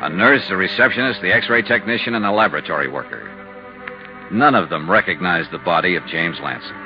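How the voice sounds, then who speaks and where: A man's voice: deep voice, expressive, brisk, music in the background. An actor playing a hard-boiled investigator narrating his case in terse, matter-of-fact 1950s radio style. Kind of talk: first-person 1950s radio detective narration. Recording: dull, noisy 1950s radio recording.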